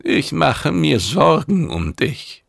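Male reader playing demanding mentor, audiobook oracle, admonishing, imperious, pitched up from narration. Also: big pitch swings, slow, creaky, slightly rough, no echo, studio quality, heavily acted. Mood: worried, insistent. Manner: imperious, lecturing. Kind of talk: audiobook character acting.

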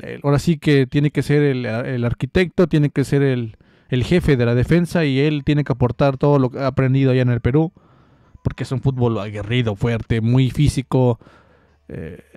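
A man's voice: mid-range voice, dark tone, dry recording. A young man giving a rambling, joking football analysis into a close studio mic in a small room. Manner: rambling, joking, many restarts. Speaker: football commentator. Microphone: studio mic close up with headphones.

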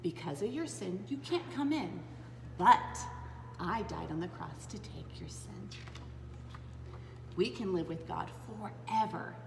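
A woman in her thirties reading a children's Bible story aloud to preschoolers in an animated, dramatic way. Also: medium pitch, a little slow, pauses often. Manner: animated and dramatic, with gasps, hushed asides and questions to young listeners. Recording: roomy, echoing space with clear background noise.

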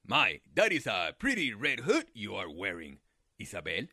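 A man voicing a sly, hungry coyote villain from a storybook, with slow, gravelly, menacing delivery. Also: on the low side, huge pitch swings, bright, forceful tone, no room sound, studio quality, pitched below the performer's own voice, heavily acted.

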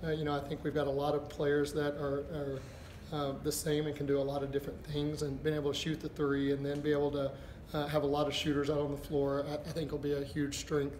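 A middle-aged man, a basketball head coach, answering postgame press questions in a halting, thinking-aloud way. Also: a little high, monotone, darkish tone. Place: indoors before a backdrop, a step from camera. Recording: echoey room with background noise.

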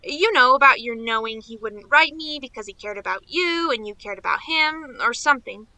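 A very bright, sharp-toned young niece in a radio play, acted by a woman, recorded dry. She is high-voiced, artless and chatty, saying everything bluntly. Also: lively intonation, moderate pace, no room sound, heavily acted, rough recording. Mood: eager, guileless. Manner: artless, matter-of-fact, childlike.

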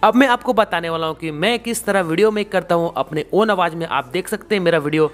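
High-pitched young Indian man teaching. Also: fast, fairly bright tone, a little hiss or hum. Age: 20s. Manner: repetitive, instructional. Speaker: YouTube tutorial host. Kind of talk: casual step-by-step tutorial.

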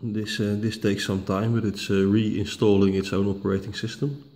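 A man who is not a native English speaker, walking through a tablet reset step by step, unhurried and full of "uh" fillers and restarts, recorded at home on a camera mic. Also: lowish voice, level tone, darkish tone. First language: not English, picks Dutch. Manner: unhurried, many uh fillers, self-corrections. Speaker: tech tutorial host. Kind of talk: slow step-by-step screen walkthrough. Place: home dining room, indoors.

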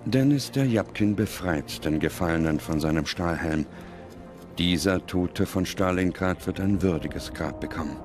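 A man reading scripted documentary narration in a solemn, measured manner with dramatic pauses. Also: sits low. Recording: loud music under the voice. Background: music.

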